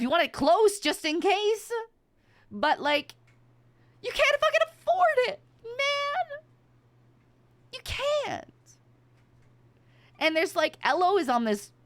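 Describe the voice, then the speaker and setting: A woman's voice: on the high side, no echo. A middle-aged woman streamer chatting casually and emphatically, with plenty of swearing. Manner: animated, emphatic, swears freely. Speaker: VTuber streamer. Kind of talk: casual livestream chat.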